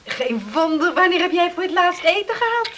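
A caring young island woman in a radio play. Her voice is high and swings widely in a sing-song, coaxing way. The old recording has clear noise. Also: big pitch swings, normal speed, fairly bright tone, exaggerated voice. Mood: kindly coaxing. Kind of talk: radio drama acting.

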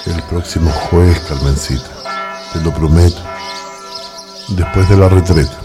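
Man acting an earnest suitor, deep voice. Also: fairly even intonation, music in the background. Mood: tender, earnest. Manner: slow, earnest, declarative. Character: earnest young male suitor, solemnly romantic. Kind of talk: radio drama acting.

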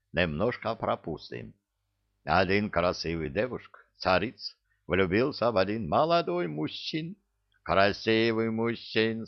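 A wheedling, rambling huckster spirit from a comic fantasy story, with a thick Georgian accent. His broken grammar rides on a very lively, swinging pitch. The recording is a bit dull and lo-fi. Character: hook-nosed Caucasian-style huckster spirit in Native costume, whining. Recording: dull lo-fi audio.

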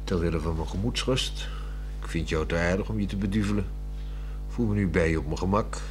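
A man's voice: low-pitched, brisk, noisy background, lightly acted. A man acting a dry, reserved husband in his fifties in a 1960s radio drama. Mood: dry, guarded. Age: 50s. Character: wry, reserved husband of fifty, cautious but curious. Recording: 1960s radio play, music behind.

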